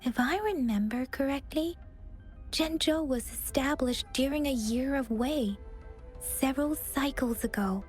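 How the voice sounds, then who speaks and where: High voice, earnest young woman investigator, thoughtful explanations, video game dialogue, music behind. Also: a little slow, a little mellow. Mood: explaining.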